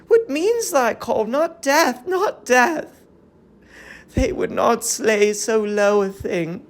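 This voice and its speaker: A woman performs a desperate captive woman of Troy in a classical tragedy. The voice is fairly high and trembling, declaiming verse with fervent, anguished pleading. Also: lively intonation, slow. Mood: fearful dread.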